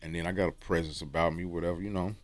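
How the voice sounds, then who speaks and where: A man from Texas with a deep, resonant voice, giving rambling, slangy self-improvement advice to camera and often tagging "okay?". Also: fairly even intonation, very fast. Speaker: dating and self-improvement vlogger. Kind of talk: casual talk to camera. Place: room with books on shelves.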